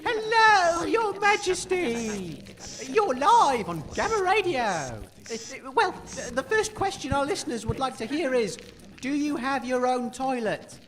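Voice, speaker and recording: An actor playing an eager, bumbling, naive radio interviewer in a comic audio drama, excitable and awkward. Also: sits high, a little slow, heavily acted.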